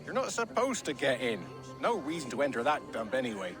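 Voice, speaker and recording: A man's voice: medium pitch, big pitch swings, a little fast, close to an everyday voice. A man playing a drunken, bitter and boisterous glassmaker in a video game, rambling and theatrical. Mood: bitter grumbling. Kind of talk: video game character dialogue. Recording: music behind the voice.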